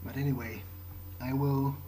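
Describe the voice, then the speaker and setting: A man improvising in-character narration for a game playthrough, speaking in a halting, understated, thoughtful way. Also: medium pitch, steady tone, noisy background. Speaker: gaming video creator roleplaying a character. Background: game music.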